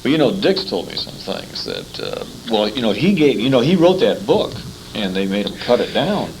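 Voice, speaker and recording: A man's voice: lowish voice, huge pitch swings, moderate pace, creaky, darkish tone, slight background noise, rough recording. A man interviewing in a casual, conversational style, chatty and informal.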